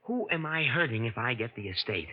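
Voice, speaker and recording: A man's voice: medium pitch, sing-song, brisk. An actor playing a greedy, defiant young impostor with an American accent, talking in slangy, cynical tough-guy style in a 1940s radio drama. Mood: defiant, self-justifying. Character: hard-boiled, greedy young male impostor, defiant and self-justifying. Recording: dull old radio recording with music.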